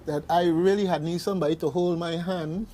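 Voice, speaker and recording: A man's voice: on the high side, steady tone, a little fast, warm tone. A man hosting an informal radio discussion, emphatic and often checking in with a questioning "okay". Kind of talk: informal talk show discussion. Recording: faint background noise.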